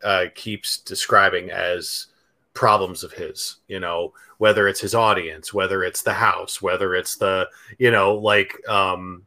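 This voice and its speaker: A man co-hosts a casual film chat and talks in a hesitant way, full of ums and restarts. His voice sits a little low but sounds bright. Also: level tone, measured pace. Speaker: podcast and stream co-host.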